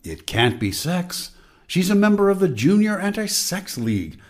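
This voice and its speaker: Actor as wary, animated inner voice, male. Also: high voice, normal speed. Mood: suspicious. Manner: cautioning, conspiratorial. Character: wary, insistent inner voice of a fearful man. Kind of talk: audio drama acting.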